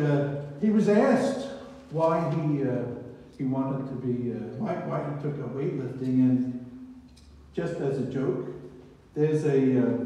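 A man's voice: a little high, warm tone. An American man in his seventies giving an informal, digressive sermon in a conversational way, often checking in with "right?". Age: 70s. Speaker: preacher. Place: small church hall indoors. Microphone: far camera or phone. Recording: echoey hall, distant camera microphone.

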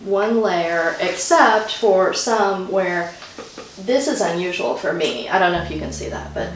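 A woman's voice: lowish voice, lively intonation, a little slow. Chatty middle-aged woman painter, echoey room. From Houston area. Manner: candid, chatty, self-deprecating. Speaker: painter, guest. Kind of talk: casual interview chat. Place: art gallery, indoors. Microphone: far camera. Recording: echoey room from a distance, music behind.

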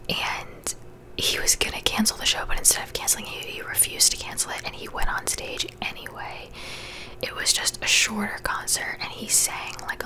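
A woman's voice: on the high side, rough recording. Woman whispering ASMR, close mic. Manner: chatty, conversational whisper. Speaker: ASMR creator. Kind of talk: whispered ASMR gossip chat. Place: small bedroom, indoors. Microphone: large studio mic close to the mouth.

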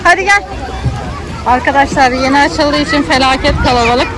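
A woman's voice: high-pitched, normal speed. A woman narrating a casual family vlog for children, speaking directly with frequent greetings and prompts. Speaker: family vlogger and mother filming her child. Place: crowded outdoor children's theme park. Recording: noisy crowded outdoor recording, loud background. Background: loud crowd and outdoor ambience.

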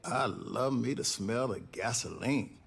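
An actor with an American accent playing a gruff but fond middle-aged garage owner in a video game, wry and laid-back. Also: male voice, mid-range voice, measured pace. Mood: wry, relaxed. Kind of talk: naturalistic video game dialogue.

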